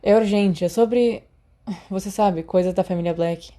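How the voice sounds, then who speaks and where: A woman's voice: medium pitch, measured pace, warm tone, lightly acted. Woman reading as an earnest, irritable teenage boy wizard. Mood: urgent. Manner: dry, urgent.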